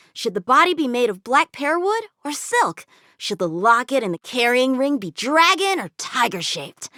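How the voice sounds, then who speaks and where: A woman's voice: high-pitched, sharp tone, no room sound, clean studio sound, exaggerated voice. A woman voicing an eager, confident teenage boy swordsman in a video game, with an earnest, cocky, youthful manner. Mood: enthusiastic. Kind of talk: video game character voice lines.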